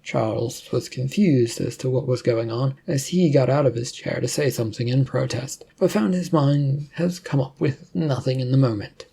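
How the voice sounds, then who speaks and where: A man's voice: medium pitch, moderate pace, gravelly, few pauses, mellow, slightly rough. A man narrating a fictional story as a storyteller, reading in an informal way.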